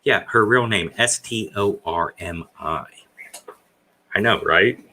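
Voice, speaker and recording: A man in his forties chats slowly on his live stream, his deep voice carrying a bright, forceful edge. He rambles and hesitates, filling the gaps with plenty of "uh"s. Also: a little hiss or hum. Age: 40s. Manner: rambling, hesitant with many fillers. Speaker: stream host. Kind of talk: casual live-stream chat.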